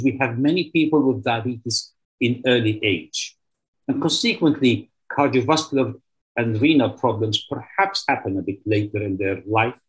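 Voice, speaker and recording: Older man, Egyptian accent, professor, formal conference lecture, emphatic on key words, mid-pitched voice. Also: rough recording. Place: indoors, remote video presentation. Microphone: video call.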